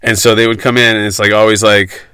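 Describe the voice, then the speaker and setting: A man's voice: lowish voice, flat delivery, fast, pushed and bright, clear, resonant tone, no echo. A man co-hosting a podcast in casual chat, easygoing and chiming in with quips. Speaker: podcast co-host.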